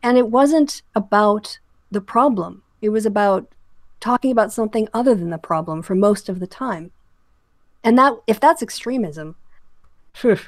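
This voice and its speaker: A woman hosting an online discussion, speaking casually and haltingly as she comments, often correcting herself. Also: mid-range voice, no room sound. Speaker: host of an online discussion channel.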